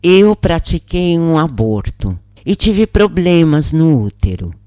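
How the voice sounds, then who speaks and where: A woman's voice: deep voice, very animated, slow, warm tone, no echo, studio quality, voice pushed up, full character voice. A woman with a Brazilian accent voicing a regretful, unsure adoptive mother who confesses and asks questions in a story. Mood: confessional.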